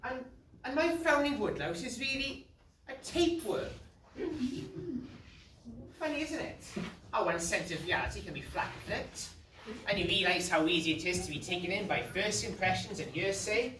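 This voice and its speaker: A woman's voice: mid-range voice, lively intonation, moderate pace, long pauses, a little hiss or hum, natural voice. Woman playing naive, echoey pantomime Otto. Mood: musing. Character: Otto, naive, musing, hesitant pantomime character. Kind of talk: stage pantomime acting. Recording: echoey stage, quiet, distant mic.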